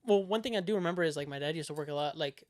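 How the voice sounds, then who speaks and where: Higher-pitched young man, casual and rambling. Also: very fast, no echo, studio quality. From Mexico. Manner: loose and rambling, laughs often, swears casually. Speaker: podcast co-host. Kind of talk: casual chat.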